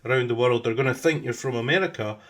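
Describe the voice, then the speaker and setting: A man's voice: mid-range voice, fast talker, a bit bright. Man hosting, asking long winding questions. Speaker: podcast host. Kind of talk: interviewer questions.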